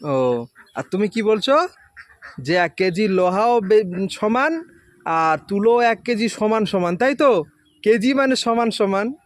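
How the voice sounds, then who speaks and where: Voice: mid-range voice, lively intonation, slow pace, long pauses. A quiz host, informally questioning children and patiently explaining and repeating the answers.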